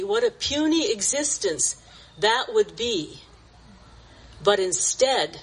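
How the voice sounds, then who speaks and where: A woman in her seventies at an outdoor church gathering, sharing a reflection and reading a poem in a gentle, measured way. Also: fairly high pitch, rough recording. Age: 70s.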